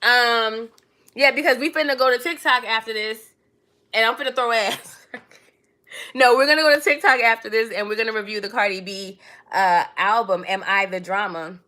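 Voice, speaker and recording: A woman's voice: on the high side, moderate pace, bright, forceful tone. A woman, born in Trinidad and raised in New York City, chatting casually to viewers on her own livestream and calling them darling and baby. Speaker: livestream host.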